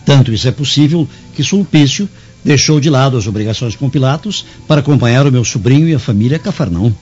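Pompous scheming Roman official, middle-pitched, theatrical, self-assured, lo-fi radio play. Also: male voice, expressive, quick pace, darkish tone, husky, soft background music. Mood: defensive, assured. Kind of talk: radio drama acting. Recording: dull lo-fi recording.

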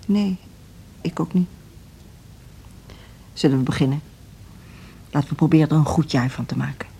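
A lowish, mellow middle-aged female voice with a Netherlands Dutch accent. She is a reserved school director who speaks in a measured, formal and sceptical way. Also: creaky, husky, full character voice. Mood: resigned. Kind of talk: radio drama acting.

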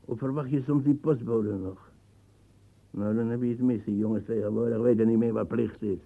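An actor playing a brutal, boastful old colonial veteran in a radio drama, rambling coarsely and searching for words. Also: male voice, medium pitch, steady tone, moderate pace, creaky, narrow, tinny audio, slight background noise, close to an everyday voice. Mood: irritated. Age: older. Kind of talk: radio drama acting, monologue reminiscence.

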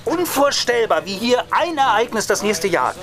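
A man's voice: very high voice, very animated, moderate pace. A man acting in a German radio drama as a cynical, hot-tempered radio reporter in his thirties, pompous and given to coarse cursing. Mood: melodramatic reporting. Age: 30s.

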